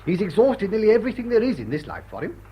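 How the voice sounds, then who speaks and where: An actor playing an amiable, grumbling English gentleman of leisure with an upper-class accent and a blustery, chatty manner in a period drama. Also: high voice, big pitch swings, fast, a little hiss or hum. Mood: grumbling, genial. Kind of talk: period drawing-room radio drama acting. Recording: dull muffled audio, music underneath.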